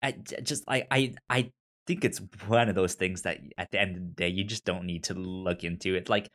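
A man hosting a podcast, chatting casually about a TV series in a halting way, often repeating the starts of words. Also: low-pitched, steady tone, a bit bright. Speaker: podcast host. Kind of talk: casual chat discussing a TV series.

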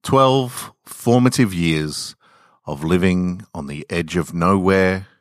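A man narrating scripted short stories in a deliberate, measured, dramatic storytelling manner. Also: low voice, no room sound, clean studio sound. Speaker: podcast host reading short stories.

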